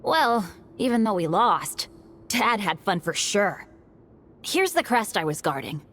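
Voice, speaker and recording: A woman's voice: medium pitch, big pitch swings, unhurried, a bit bright. A woman voicing an earnest, good-natured, unlucky teenage boy adventurer in a video game, with a boyish voice. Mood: sincere.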